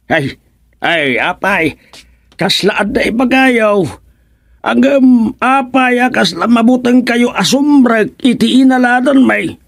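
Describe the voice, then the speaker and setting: A man playing a fussy, excitable older villager in a radio comedy drama, speaking with an Ilocano accent and lots of exclamations. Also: very high-pitched, slow, vocal fry, heavily acted. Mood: fussing. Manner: exclamatory, pleading, animated.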